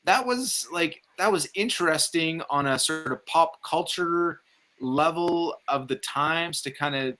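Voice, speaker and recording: A man's voice: on the high side, measured pace, bright, forceful tone. A man in a casual film discussion, speaking emphatically and repeating phrases to press his points. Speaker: film review podcast panelist.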